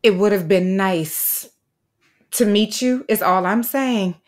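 An actress playing an earnest, firm schoolteacher in an audio drama, measured and direct, pleading but principled. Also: female voice, mid-range voice, close to an everyday voice. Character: earnest, firm, dedicated woman elementary school teacher. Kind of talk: naturalistic audio drama acting.

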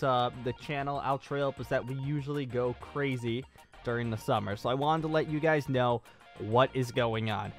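A man's voice: medium pitch, a bit bright. Casting: male gaming vlogger, twenties, mid-range voice, conversational and rambling, close-miked at a home desk over faint music. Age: 20s. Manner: rambling, conversational, repeats phrases for emphasis. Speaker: YouTube gaming channel host. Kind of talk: casual channel update vlog. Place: small home room with acoustic foam, at a desk. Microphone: studio mic on a boom arm, close.

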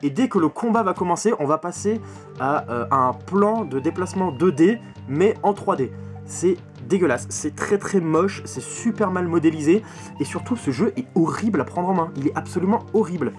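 A man reviews games in a casual vlog style. His voice sits somewhat high, and he is blunt and emphatic, doubling up words and swearing. Soft music plays faintly behind him. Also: expressive, normal speed. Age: 20s. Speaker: video game collector and reviewer. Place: small bedroom at home, indoors.

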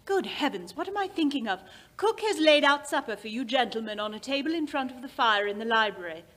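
A haughty, critical lady of the manor in an old radio play, acted by a woman. Her voice is rather high and animated, with a prim, disapproving manner. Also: a little fast. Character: haughty, disapproving female relative running aristocratic household. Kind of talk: old-time radio drama acting. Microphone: stand microphone.

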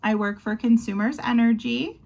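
A woman in her twenties reading a children's picture book aloud in measured phrases, pausing between them. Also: a little high, creaky, darkish tone, muffled recording. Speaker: volunteer storybook reader. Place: small wood-panelled bedroom indoors.

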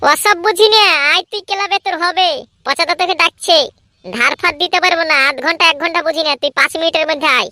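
A woman's voice: very high voice, fast, bright, forceful tone, dry recording. A woman voicing a sly, swaggering young troublemaker boy in a comedy cartoon, cocky and dismissive. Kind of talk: Bengali comedy cartoon voice acting.

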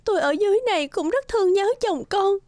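A woman's voice: very high voice, lively intonation, fast talker, a little mellow, no room sound, clean studio sound. A woman voicing a sorrowful, loving young village wife in a fairy-tale cartoon, plaintive and tearful. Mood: tearful, pleading. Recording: music under the voice.

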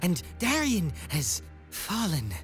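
A woman's voice: lowish voice, wildly swooping pitch, slow, faint music behind, heavily acted. A woman playing a solemn, intense warrior messenger in a fantasy audio drama. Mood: grave. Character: grave, fervent female warrior messenger bearing bad news.

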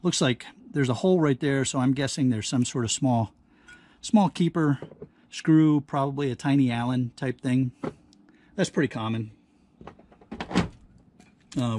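A man giving casual hands-on demonstration commentary, halting and thinking aloud as he works at a workbench. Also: mid-range voice, a little mellow. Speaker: host of a scrapping how-to channel. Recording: clear background noise, handling clicks.

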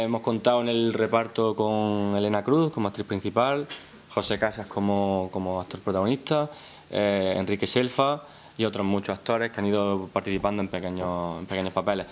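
A man, presenting informally as a film director at a press conference, repeating words as he thinks. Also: lowish voice. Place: press room at a town hall, seated at a table. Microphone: gooseneck table microphone.

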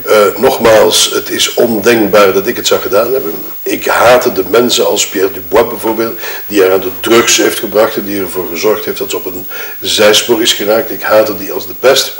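A man acts out a wronged Flemish husband in an audio drama, justifying himself at length. His voice sits on the low side and rings in a roomy space. He picks formal words, hesitates and corrects himself as he testifies. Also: fairly even intonation, moderate pace, fairly bright tone. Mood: bitter, grieving. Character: wronged, self-justifying widowed husband giving his statement. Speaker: actor in an audio murder-mystery game. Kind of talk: acted improvised-sounding testimony. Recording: roomy echo.

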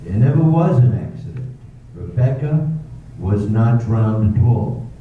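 A man's voice: mid-range voice, lively intonation, unhurried, natural voice. A man in an amateur stage reading playing a tormented husband confessing a killing, in 1930s-style radio drama acting. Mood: grim. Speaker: amateur theater actor. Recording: distant, echoey, tinny phone-like sound with faint noise.

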